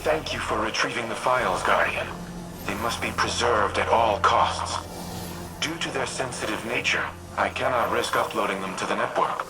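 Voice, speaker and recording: A man voicing a grave, remorseful artificial war intelligence in a video game, speaking formally and with measured deliberation. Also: fairly low pitch, close to an everyday voice, low-quality recording. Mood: grateful, formal. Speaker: military AI ally. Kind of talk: video game cutscene monologue. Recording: loud music behind the voice.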